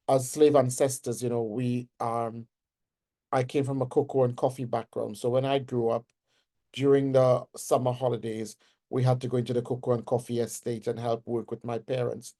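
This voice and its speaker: Trinidadian man, forties, warm-toned conversational lecturer. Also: medium pitch, little pitch movement, moderate pace. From Trinidad and Tobago. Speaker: guest speaker, nursing professor. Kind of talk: webinar lecture.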